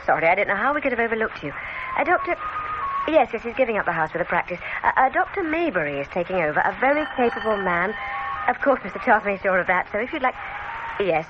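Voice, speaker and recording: An actress playing a polite but firm dental receptionist in a radio drama, prim and apologetic. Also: female voice, medium pitch, sing-song, fast talker, lightly acted. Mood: flustered, apologetic. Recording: dull, noisy old radio recording.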